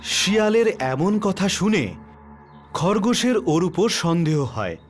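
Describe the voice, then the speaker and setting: Slow, expressive male storyteller. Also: sits high, music in the background. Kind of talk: scripted children's story narration.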